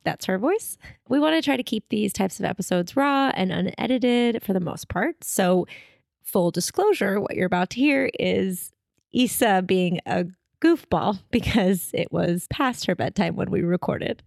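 A woman's voice: mid-range voice, lively intonation, a little slow, gravelly, darkish tone, no room sound, clean studio sound. A woman co-hosting a podcast, chatting casually and playfully with her sister. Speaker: podcast co-host. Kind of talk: casual chat.

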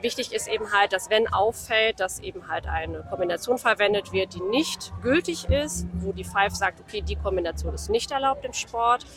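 A woman's voice: mid-range voice, lively intonation, moderate pace, hardly any pauses, a bit bright, soft background music. A woman judge and ring steward explaining equipment rules in an interview, talking at length with many fillers. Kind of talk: interview answers. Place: outdoors at a horse show.

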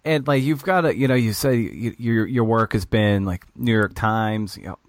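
A male podcast host with a slightly low voice, working through his questions in loose, rambling sentences. It is a dry recording with almost no room sound. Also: normal speed, no room sound. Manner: rambling, hesitant questions full of fillers. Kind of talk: casual interview questions.

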